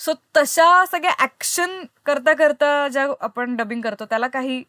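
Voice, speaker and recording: A woman's voice: sits high, steady tone, normal speed, pushed and bright, dry recording, clean studio sound. A woman with an Indian accent hosting a casual podcast interview, giggly and slipping English into her talk. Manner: giggly, code-mixes with English. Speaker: podcast host.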